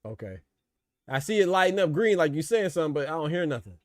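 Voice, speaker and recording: A man's voice: on the high side, big pitch swings, quick pace, no echo, clean studio sound. A man hosting a podcast, greeting callers and cheering along in slang. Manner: hype affirmations, slangy. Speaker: host.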